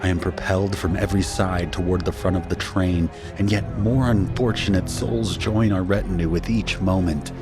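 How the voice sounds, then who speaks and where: An actor playing a shaken husband and father who narrates his ordeal in a grave, measured, first-person style for an audio drama. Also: sits low, fairly even intonation, over music, natural voice. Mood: grave, tense. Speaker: narrator and main character.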